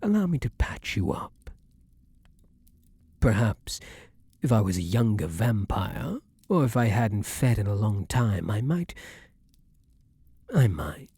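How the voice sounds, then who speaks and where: A man playing a formal, tender vampire king in an intimate ASMR roleplay, speaking in measured, old-fashioned courtly phrases. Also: on the low side, big pitch swings, mellow, slightly rough, no room sound, clean studio sound, heavily acted. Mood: gentle, concerned.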